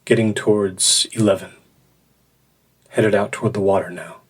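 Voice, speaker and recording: A man's voice: fairly low pitch, steady tone, normal speed, breathy edge. An actor playing a solitary, uneasy man in a remote shack, speaking terse, contemplative journal entries in an audio drama. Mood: quiet, uneasy.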